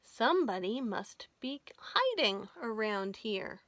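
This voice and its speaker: A woman's voice: on the high side, sing-song, takes it slowly, fairly bright tone, heavily acted. A woman voicing a puzzled, kindly male night watchman in a storybook, exclaiming and questioning. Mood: startled, suspicious.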